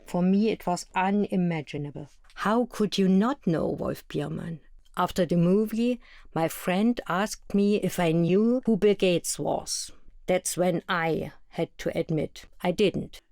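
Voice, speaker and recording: A woman's voice: lowish voice, unhurried, a little mellow, no room sound, studio quality. A woman from East Germany giving a scripted first-person narration.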